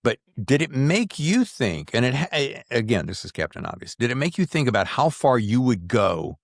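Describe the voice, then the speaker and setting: A man's voice: mid-range voice, sing-song, normal speed, gravelly, dry recording, clean studio sound. A man from the Southern United States hosting a casual book interview, talking in an effusive, rambling way with repeated words. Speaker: podcast host, interviewer.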